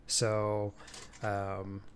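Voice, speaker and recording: A man co-hosting a podcast in casual chat, talking in an easygoing, measured way. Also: fairly low pitch, monotone, clear, resonant tone. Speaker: podcast co-host.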